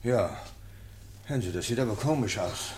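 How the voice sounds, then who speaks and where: An actor playing a sceptical, commanding lieutenant in a radio drama, questioning in a brusque, sardonic, probing way. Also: male voice, on the low side, expressive, moderate pace, a little mellow, roomy sound, a little hiss or hum, natural voice. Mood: brisk, wary. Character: sceptical, commanding police lieutenant, interrogating and scornful. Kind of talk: German radio drama acting.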